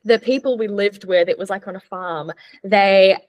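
A woman's voice: medium pitch, normal speed, muffled recording. A young woman from Switzerland and Australia answering interview questions in a casual, upbeat, explanatory way as a podcast guest. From born in Switzerland, moved to Australia as a child. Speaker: podcast guest, sports nutritionist.

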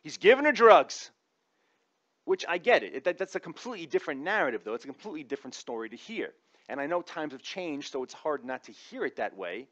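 A man's voice: fairly high pitch, big pitch swings, fast, a bit bright, highs cut off. A man giving a conversational comic opinion monologue in an informal, wry, sometimes profane way. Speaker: comedic commentator.

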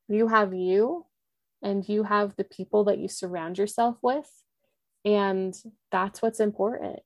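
A woman's voice: medium pitch, creaky, a little mellow, no room sound. A young woman speaking candidly in an interview, earnest and reflective, with lots of "like" and restarts. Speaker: podcast host and guest.

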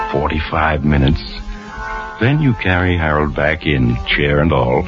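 Very deep, sinister radio storyteller. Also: male voice, heavily acted. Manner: sly, mock-sympathetic, darkly amused. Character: sinister, genial male storyteller relishing macabre crime tales. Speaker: host and narrator. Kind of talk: 1940s radio mystery narration. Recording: muffled old radio recording with music.

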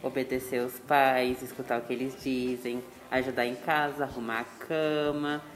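A man in his twenties answering children's interview questions calmly, listing his points one by one. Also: mid-range voice, moderate pace, roomy sound, soft background music, rough recording. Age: 20s. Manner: calm, explains in lists. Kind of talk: school project interview.

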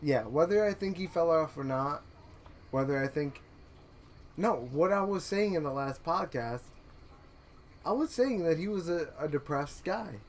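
Rambling earnest young man, fairly high voice. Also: lively intonation, measured pace, pauses often, a little hiss or hum. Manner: rambling, earnest, with restarts and laughs. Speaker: podcast co-host. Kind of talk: casual chat.

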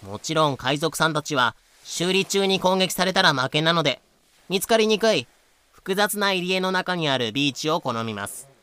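Bright, higher-pitched male drama narrator. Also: lively intonation, moderate pace, smooth. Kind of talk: scripted audio drama narration.

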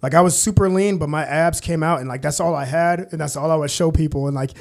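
A young man from Kansas, a podcast guest telling his life story in a casual, halting way, full of fillers and restarts. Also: on the high side, vocal fry, darkish tone. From Kansas, USA. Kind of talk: casual conversational interview.

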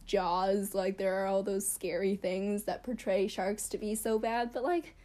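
A woman's voice: mid-range voice, steady tone, a little slow, darkish tone. A young woman chatting casually to camera about her childhood, rambling and self-deprecating with lots of fillers. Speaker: vlogger.

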